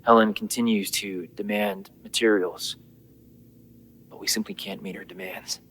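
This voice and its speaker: An actor playing a burdened, sombre team leader recording a log in a video game. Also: male voice, on the low side, fairly even intonation, moderate pace, a bit bright. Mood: weary, troubled.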